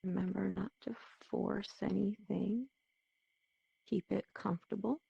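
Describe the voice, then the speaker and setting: A woman leading a guided meditation in a soothing, gentle, unhurried manner, with a muffled, dull sound to the recording. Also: mid-range voice, steady tone, gravelly, breathy edge, dry recording. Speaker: meditation teacher.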